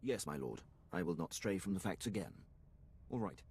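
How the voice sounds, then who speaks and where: An obsequious Imperial officer in a video game. The voice is deep and husky, formal and precise, with lively, eager inflection. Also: male voice, normal speed, exaggerated voice. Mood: briefing, zealous. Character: eager, deferential male Imperial military officer.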